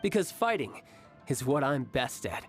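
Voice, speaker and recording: A man acting a confident, coolly amoral young warrior who relishes battle, speaking in a smooth, theatrical way in a video game cutscene. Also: medium pitch, big pitch swings, unhurried, faint music behind. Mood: cool, assured. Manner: smooth, theatrical, self-assured.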